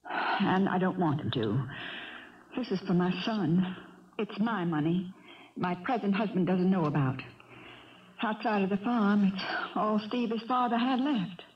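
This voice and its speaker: A woman's voice: medium pitch, measured pace, pauses often, lightly acted. An actress playing a determined, desperate farm wife and mother, speaking urgently and formally in a radio drama. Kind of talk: 1970s radio drama acting. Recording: dull 1970s radio recording.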